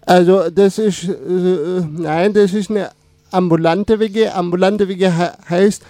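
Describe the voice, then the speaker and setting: A man in his twenties, Turkish as his first language, answering interview questions in a casual, halting way with many fillers and restarts. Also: high voice, fairly even intonation, mellow. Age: 20s. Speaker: radio guest.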